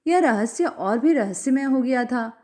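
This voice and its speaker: A woman reading a story aloud as an expressive scripted narration. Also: a little high, unhurried.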